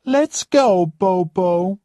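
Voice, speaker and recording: A reader voicing a spoiled, demanding woman who complains shrilly and loudly. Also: mid-range voice, lively intonation, extremely slow, dry recording, clean studio sound, voice pushed up. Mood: cross.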